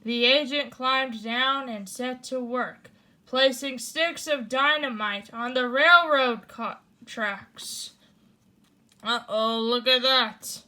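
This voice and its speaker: A woman hosting a bedtime story show, reading a picture book aloud with animated asides. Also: sits high. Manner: slow, animated, comments between passages. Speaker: storyteller host.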